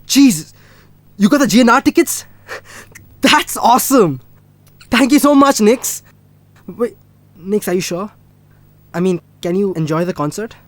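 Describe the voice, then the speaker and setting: A woman's voice: lowish voice, steady tone, moderate pace, pauses often, darkish tone, no room sound, lightly acted. A woman playing a moody, defensive young partner in a scripted drama, speaking bluntly. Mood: excited. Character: hot-tempered young film-loving partner, moody and defensive.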